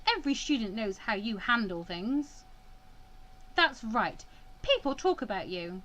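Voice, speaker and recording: A woman's voice: fairly high pitch, very animated, measured pace, fairly bright tone, full character voice. A woman voicing an earnest, emotional young female student in a visual novel, stammering and impassioned. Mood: indignant.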